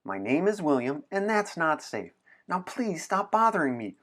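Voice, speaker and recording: A man acts out a serious little boy from a storybook. He speaks in precise, formal words and sounds fussy and easily exasperated. His pitch moves about expressively. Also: big pitch swings, moderate pace, a bit bright. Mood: frustrated, urgent.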